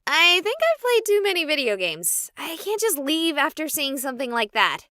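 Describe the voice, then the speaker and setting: A woman's voice: high-pitched, very animated, moderate pace, bright, forceful tone, dry recording, clean studio sound, exaggerated voice. An actress playing a sarcastic, chatty young woman streamer who talks to her viewers. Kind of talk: voiced game dialogue.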